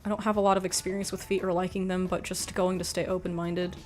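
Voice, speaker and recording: A young woman hosts a livestream in a soft, quiet voice. She rambles through chat with frank, offhand remarks and plenty of "like". Faint music plays behind her. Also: on the low side, little pitch movement, fast. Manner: rambling, frank, laughs often, says "like" a lot. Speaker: livestream host reading chat. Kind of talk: casual chat.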